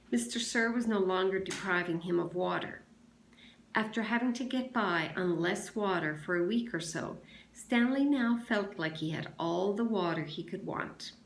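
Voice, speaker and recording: Woman reading a story in an echoey room. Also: medium pitch. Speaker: teacher reading aloud. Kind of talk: expressive children's novel read-aloud.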